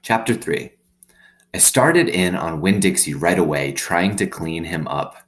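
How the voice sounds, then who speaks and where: Low-voiced man reading a story aloud. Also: lively intonation, measured pace. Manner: explains simply, checks in with tag questions. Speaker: teacher reading aloud to students. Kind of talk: read-aloud with explanatory asides.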